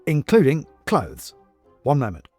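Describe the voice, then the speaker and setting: A man's voice: a little high, huge pitch swings, measured pace, a little mellow, husky, dry recording, faint music behind, voice pushed up, exaggerated voice. A man voicing a fussy, courteous monk in a storybook, with a wordy, exclamatory, bookish way of talking. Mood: wry. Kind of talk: storybook character voice in audiobook.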